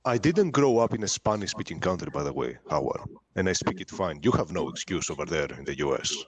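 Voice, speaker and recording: A man's voice: low-pitched, expressive, fast talker. A man speaking bluntly and drily in a casual online voice chat, with hesitant fillers. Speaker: debate participant. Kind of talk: argumentative voice chat.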